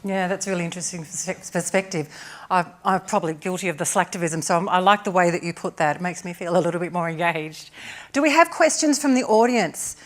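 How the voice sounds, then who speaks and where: A woman's voice: fairly low pitch, fast talker. A woman moderating a panel discussion, conversational and a little hesitant.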